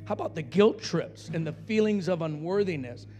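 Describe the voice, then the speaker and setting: A man's voice: a little high, animated, moderate pace, a little mellow. A man preaching a revival altar call, exhorting and urging people in repeated phrases, with worship music behind him. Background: guitar and worship music.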